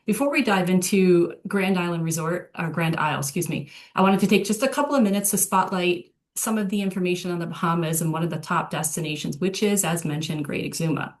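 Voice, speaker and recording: A woman's voice: lowish voice, fairly quick, hardly any pauses. A woman hosting a travel webinar, giving a prepared introductory presentation.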